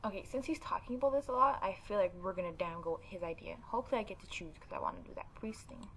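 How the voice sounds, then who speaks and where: A woman's voice: medium pitch, racing, a bit bright, rough recording. Opinionated woman gamer over background noise. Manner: informal, opinionated. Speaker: gaming video host. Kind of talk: casual let's play commentary.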